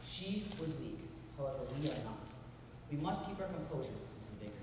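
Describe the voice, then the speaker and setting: A man playing a stern, cold gentleman with a curt, commanding manner. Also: fairly high pitch, big pitch swings, a little fast. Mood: cool. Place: stage in a large hall. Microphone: distant camera mic. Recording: echoey hall, distant mic, background noise.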